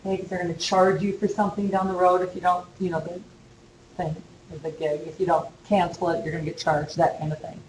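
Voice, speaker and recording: A woman's voice: fairly low pitch, little pitch movement, brisk. A woman college instructor giving a casual, chatty lecture in a classroom while losing her voice. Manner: casual, chatty, uses fillers. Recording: echoey lecture room with faint hum.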